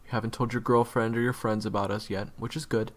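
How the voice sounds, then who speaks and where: A man playing a jaded, blunt monster hunter in an audio roleplay, speaking frankly and earnestly to a rookie, with profanity and halting delivery. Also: lowish voice, little pitch movement, close to an everyday voice. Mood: earnest, weary. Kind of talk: dramatic audio roleplay monologue.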